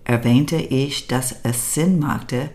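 An older American woman with an American accent, a native English speaker, hosting a podcast in a measured, scripted monologue. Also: extremely low pitch, a little mellow. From United States. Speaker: podcast host.

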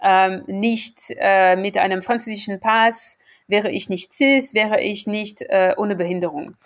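A woman's voice: mid-range voice, measured pace. A woman with a French accent, a native French speaker, answering as the expert guest in a podcast interview, earnest and building to emphatic repetition.

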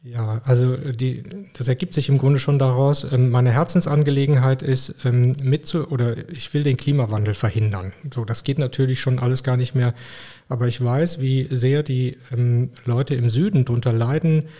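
A middle-aged man from Germany, a physician and podcast guest, talking in a relaxed, thoughtful way with frequent hesitations. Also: mid-range voice, normal speed. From Koblenz, Germany. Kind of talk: casual interview talk.

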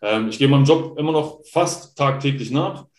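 A man's voice: mid-range voice, normal speed, darkish tone. Chatty German man, echoey room. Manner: rambling, chatty storyteller with many fillers. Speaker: guest. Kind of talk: casual interview chat. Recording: roomy echo.

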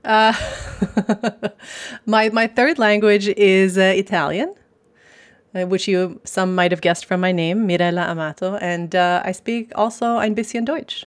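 A woman's voice: mid-range voice, steady tone, a little slow. A Canadian woman in her forties, a beer specialist talking as a guest on a podcast, chatty and thoughtful with many fillers. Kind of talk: podcast interview.

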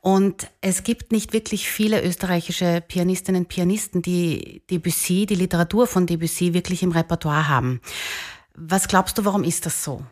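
A woman's voice: on the low side, moderate pace, a little mellow. A woman hosting, moving between scripted introduction and interview talk. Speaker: host.

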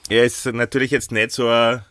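A man with an Austrian German accent co-hosting a casual podcast, a little hoarse from a sore throat, talking in a chatty, playful way with plenty of fillers. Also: mid-range voice, little pitch movement, normal speed, a bit bright, no room sound, clean studio sound. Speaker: podcast co-host.

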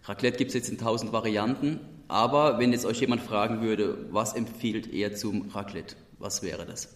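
A man's voice: lowish voice, normal speed. Host, male, Odenwald German accent, moderating a wine chat, echoey room with a long tail. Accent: Odenwald German dialect. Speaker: podcast host. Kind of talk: hosted wine podcast conversation.

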